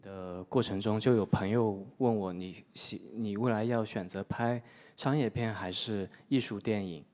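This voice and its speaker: A man answering as a film director at a press conference, reflective and steady. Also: fairly low pitch, moderate pace.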